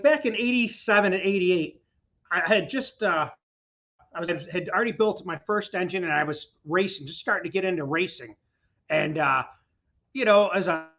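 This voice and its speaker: Bright, high-voiced man hosting a chat. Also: muffled recording. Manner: chatty, rambling. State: recovering from losing his voice. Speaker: host. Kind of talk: casual interview. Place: his garage, windy outdoors.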